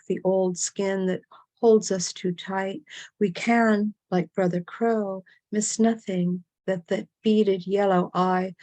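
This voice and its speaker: A woman gives a poetry reading in a soft-edged, mellow voice. She moves slowly and deliberately, setting down short phrases with pauses in an incantatory way. The sound is close and dry. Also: medium pitch, no room sound. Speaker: poet reading own work.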